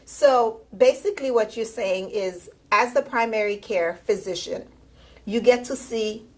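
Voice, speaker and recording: A woman hosts a talk show with a playful, teasing touch. Her voice sits a little high. She sounds animated and quick to joke. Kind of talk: lively interview.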